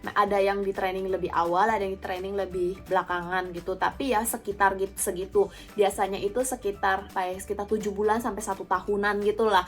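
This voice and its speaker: A woman's voice: medium pitch, flat delivery, fairly quick. A young woman with an Indonesian accent, talking casually and informally to viewers as she answers their questions. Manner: informal, conversational, addresses viewers directly. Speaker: vlogger, former or current flight attendant. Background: background music.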